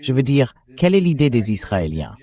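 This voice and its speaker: A man's voice: medium pitch, very animated, fairly quick, warm tone. A man's synthetic dubbing voice, acting as host and putting questions in a political interview. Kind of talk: political interview, dubbed translation read by synthetic voice.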